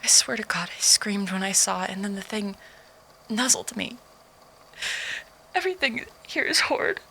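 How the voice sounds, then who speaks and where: A woman playing a frightened survivor in an audio drama, haltingly recording a log of horrors, at times near a whisper. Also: medium pitch, expressive, gravelly, bright, forceful tone, slight background noise, low-quality recording. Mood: disgusted, fearful.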